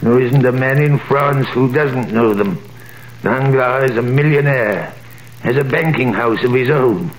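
An actor playing a bitter, gossipy older tailor in a 1930s radio drama, with a gravelly voice and a scoffing manner, heard as an echoey vintage broadcast. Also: male voice, medium pitch, measured pace, lightly acted.

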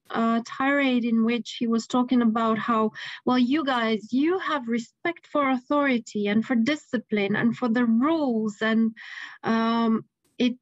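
A woman's voice: high-pitched, no room sound, dull audio. Romanian-accented woman on a dry video call. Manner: animated, frequent restarts, laughs mid-sentence. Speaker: anthropologist guest. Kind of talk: casual interview storytelling. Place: home room with bookshelves.

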